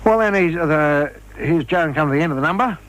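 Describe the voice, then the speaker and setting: An older man telling an anecdote in an interview, in an animated, colloquial way. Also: a little high, brisk. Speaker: interviewee, former radio performer. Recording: noisy archival interview recording.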